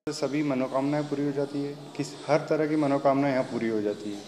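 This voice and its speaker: A man's voice: medium pitch, fairly even intonation, a little fast, darkish tone. A man, a devotee giving personal testimony in an interview. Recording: noisy, reverberant on-location interview.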